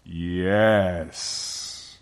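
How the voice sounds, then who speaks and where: Majestic storybook lion, played by a male reader: weighty, low, very measured, solemn and wise. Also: steady tone, exaggerated voice. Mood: grave, commanding. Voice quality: great, weighty voice. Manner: slow, solemn, emphatic delivery. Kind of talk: storybook character voice.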